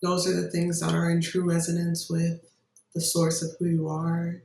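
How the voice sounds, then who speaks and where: A meditation guide giving gentle, unhurried instructions with long pauses between phrases. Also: medium pitch, monotone, creaky, a little hiss or hum. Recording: roomy echo, distant microphone.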